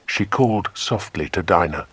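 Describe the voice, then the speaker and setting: Deep, husky male storybook narrator. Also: animated, normal speed, no echo.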